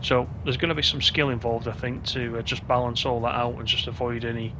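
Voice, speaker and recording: A man's voice: medium pitch, steady tone, a little fast, noisy background. A man giving casual gameplay commentary as a video host, chatty and thinking aloud.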